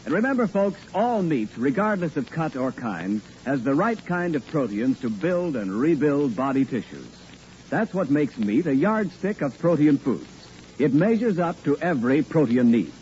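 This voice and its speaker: Lively old-time radio announcer man. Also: fairly high pitch, moderate pace, slight background noise. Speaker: radio announcer and sponsor spokesman. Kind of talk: 1940s radio sponsor announcement. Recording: dull 1940s radio, faint music behind.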